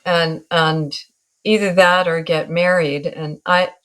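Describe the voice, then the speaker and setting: A woman's voice: fairly low pitch, steady tone, takes it slowly, fairly bright tone. A woman in her sixties from Northern California, a guest artist in an interview, talking in a reflective, wry way and laughing at herself. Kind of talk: relaxed interview talk.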